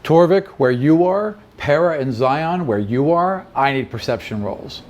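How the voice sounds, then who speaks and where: A man's voice: a little high, animated, measured pace, highs cut off, noisy background. A man working as game master and podcast host, narrating a fantasy tabletop game in a conversational way. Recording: background music under the voice. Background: music.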